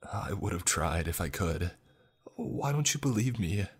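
A man playing a guilt-ridden grown son who pleads haltingly in a horror story. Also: low-pitched, animated, slightly rough, heavily acted. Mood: pleading.

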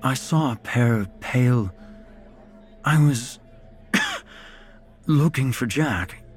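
A man's voice: medium pitch, animated, slow pace, husky, faint music behind, pitched above the performer's own voice. A man voicing a confused, frightened man at a party in a horror story, who begs and then bellows in panic. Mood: puzzled.

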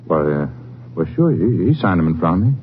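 A man's voice: low-pitched, sing-song, a little fast. An actor playing an evasive, nervous small-town feed barn man with a stammering, folksy manner in a 1950s radio drama. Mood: uneasy, defensive. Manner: stammering, hedging, folksy grammar. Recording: tinny, noisy old radio recording.

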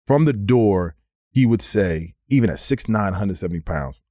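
Low-voiced dude telling hoop stories on a podcast, dry close mic, gets animated and repeats himself. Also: male voice, moderate pace, no room sound. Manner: animated storyteller, slips into quoted voices, repeats words. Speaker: podcast guest, former pro basketball player. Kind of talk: casual storytelling.

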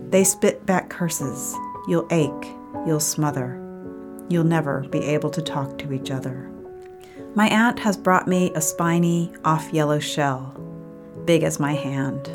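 A woman poet reading her own poem in a measured, literary style. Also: low-pitched, over music.

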